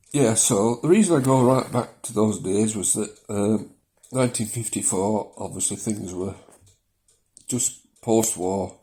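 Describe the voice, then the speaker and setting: A man in his seventies from South Yorkshire with a softened Yorkshire accent, talking casually and hesitantly into his phone in his living room. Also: on the low side. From South Yorkshire, England. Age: 70s. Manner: rambling, hesitant, with many ums and small laughs. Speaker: vlogger. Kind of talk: casual personal vlog. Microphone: mobile phone.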